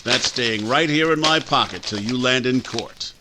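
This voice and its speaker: A man's voice: mid-range voice, normal speed, background noise, natural voice. A man playing a stern older father and banker in 1940s radio drama style, blunt, folksy and indignant. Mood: righteous anger. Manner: folksy, accusing.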